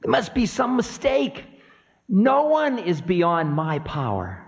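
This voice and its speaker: A man voicing Kala as a cruel, indignant spirit of Time, shrill, menacing and taunting, in dramatic mythic storytelling. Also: huge pitch swings, slow, heavily acted. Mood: affronted. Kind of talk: dramatic oral storytelling of myth.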